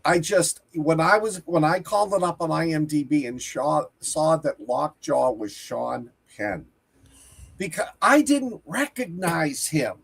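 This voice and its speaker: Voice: medium pitch, expressive, a little slow, creaky, breathy edge. A podcast co-host and teacher with a Boston accent, rambling casually about movies and correcting themselves mid-sentence. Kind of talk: casual movie review chat.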